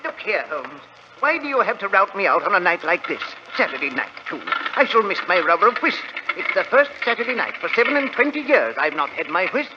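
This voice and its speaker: An actor playing a stuffy, peevish older bank president with an English accent, pompous and fussy, in 1940s radio drama style. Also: male voice, sits high, very animated, brisk. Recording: muffled, noisy vintage radio recording.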